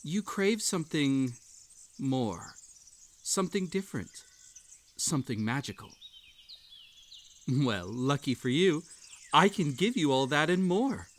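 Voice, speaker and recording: A man's voice: fairly high pitch, big pitch swings, takes it slowly, pauses often. A man playing an unhinged, possessive bunny hosting a sinister tea party in a roleplay audio drama, coaxing and falsely polite. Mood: seductive, inviting. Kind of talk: scripted roleplay audio drama addressed to the listener.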